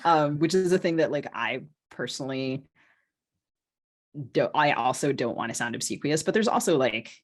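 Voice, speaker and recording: A woman's voice: rumbling low, animated, moderate pace. Woman podcast co-host, hesitant and discursive. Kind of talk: casual podcast conversation.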